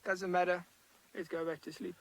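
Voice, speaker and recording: A man's voice: sits high, flat delivery, moderate pace, gravelly, a bit bright, heavily acted, low-quality recording. A man voicing a pride of sleepy, unbothered lions, speaking dismissively.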